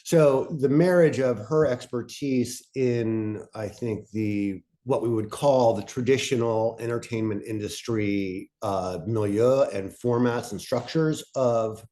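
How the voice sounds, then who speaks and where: A man moderating a casual panel talk in a self-deprecating way, thinking aloud in halting phrases. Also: medium pitch, mellow.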